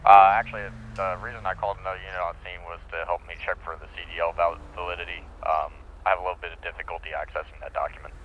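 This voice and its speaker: A businesslike male patrol officer, played by a man in a roleplay game talks in a low, rapid voice. His delivery is formal, clipped and procedural, over dull radio audio. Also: flat delivery, slight background noise, lightly acted.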